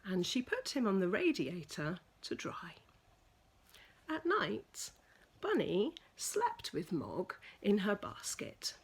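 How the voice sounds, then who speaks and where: A woman reading a children's picture book aloud as a storyteller, with deliberate, dramatic emphasis for young listeners. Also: medium pitch, huge pitch swings, gravelly, husky.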